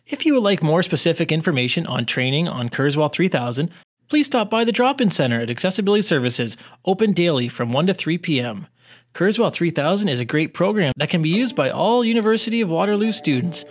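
Male tutorial narrator, fairly high voice, clear instructional scripted delivery. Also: animated, a little fast. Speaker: tutorial presenter, educational technologist.

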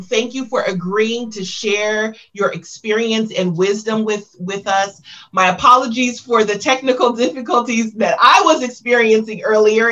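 A woman with a slightly high voice moderates a panel, moving things along in a brisk, friendly way. Her voice comes through dull, lo-fi video call audio. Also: a bit bright. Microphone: video call webcam.

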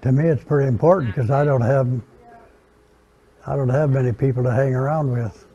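A man's voice: medium pitch, brisk, warm tone, lo-fi sound. A man in his nineties from the United States giving short, casual interview answers. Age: 90s. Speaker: pool player being interviewed.

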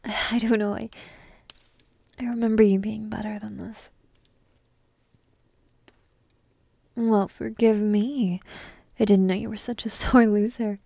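Woman voicing a soft, whispery teasing dragon princess. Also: mid-range voice, steady tone, dry recording. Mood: smug teasing. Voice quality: soft, breathy, often dropping into whispers. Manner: slow, teasing, intimate delivery with pauses. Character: petite dragon princess, teasing, playful, secretly affectionate. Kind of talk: ASMR roleplay. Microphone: close microphone in a dry room.

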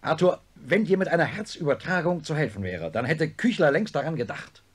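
An actor playing a sober, skeptical professor of neurosurgery in a radio play, with a dry, measured, blunt manner. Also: male voice, on the high side, lively intonation, slightly rough. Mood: blunt, exasperated. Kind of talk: German radio play acting.

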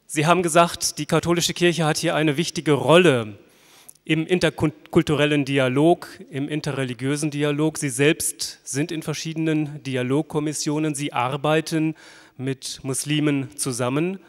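A man's voice: a little high, steady tone, moderate pace. A man moderating a panel discussion, summarizing and asking a guest a question. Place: large hall. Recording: reverberant hall.

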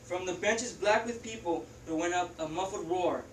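Teen girl, lower voice, steady verse reading, roomy classroom picked up from afar. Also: moderate pace, slight background noise. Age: teens. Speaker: student performer. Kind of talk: reader's theatre poem reading. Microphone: far camera. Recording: echoey classroom from a far camera.

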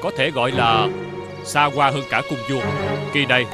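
An actor playing a florid, declamatory official judging a contest in a fairy-tale costume film. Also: male voice, medium pitch, steady tone, normal speed, loud music behind the voice, natural voice. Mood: pompous, announcing.

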